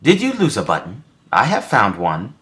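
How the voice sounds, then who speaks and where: A small, polite sparrow from a children's story, performed by a man. The voice is pushed up in pitch and has a courteous, helpful, questioning manner. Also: huge pitch swings, normal speed, fairly bright tone.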